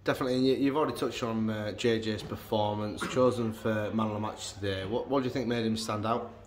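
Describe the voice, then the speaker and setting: A man works as the club's interviewer, putting brisk, prepared questions after a match. A faint ambience hums behind his voice. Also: on the low side, fairly even intonation, slight background noise. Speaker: club media interviewer.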